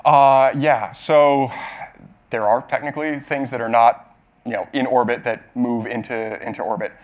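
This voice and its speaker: A man in his thirties answering questions as the speaker at a technical talk, explaining in a halting way with hedges and self-corrections. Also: mid-range voice, fairly even intonation. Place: auditorium stage at a podium.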